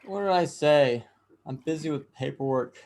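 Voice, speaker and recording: A man's voice: a little high, normal speed, vocal fry, darkish tone. A man playing a smug, amused aristocrat lord in a dramatic script reading, with a wry, breezy manner. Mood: flustered, breezy.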